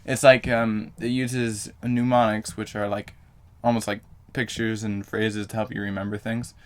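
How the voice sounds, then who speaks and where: A young man with a fairly low voice talks straight to his camera in a loose, rambling vlog. He trips over his own words, corrects himself and fills gaps with ums and likes. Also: level tone, normal speed. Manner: rambling, self-correcting, lots of fillers. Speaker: vlogger.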